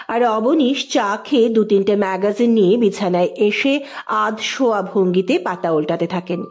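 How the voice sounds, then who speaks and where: Higher-pitched woman narrating a story. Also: a little mellow, muffled recording. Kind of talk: scripted literary narration, dramatic storytelling.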